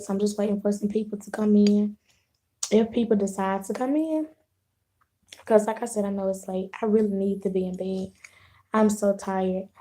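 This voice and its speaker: Casting: hoarse woman vlogger, slow easy delivery, mellow dark tone, casual live chat. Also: medium pitch. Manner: unhurried, rambling, clears throat, frequent restarts. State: hoarse, losing her voice, sore throat. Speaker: vlogger hosting a live video.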